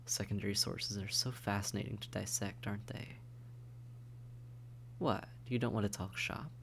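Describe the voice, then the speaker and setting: A man's voice: fairly low pitch, fairly even intonation, moderate pace, creaky, a bit bright, slight background noise. A man playing a suave, condescending vampire in an intimate audio roleplay, speaking to the listener in a polite, wry, teasing and articulate way. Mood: wry, conversational.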